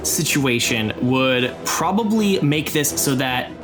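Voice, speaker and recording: A man's voice: medium pitch, lively intonation, takes it slowly, music in the background. A man running a tabletop game, explaining rules and narrating scenes in a conversational way. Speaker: game master.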